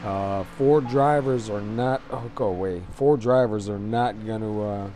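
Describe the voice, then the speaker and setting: Man, hoarse worn voice, soft dark tone, casual race host, halting delivery, engine noise behind. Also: lowish voice. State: hoarse, losing his voice. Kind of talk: casual sim racing commentary.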